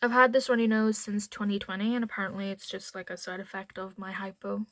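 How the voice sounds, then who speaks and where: A woman's voice: mid-range voice, little pitch movement, fast talker, a bit bright, no room sound. A woman with a mild Northern Irish accent chatting casually to camera at home, rambling into asides as a beauty vlogger. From Northern Ireland.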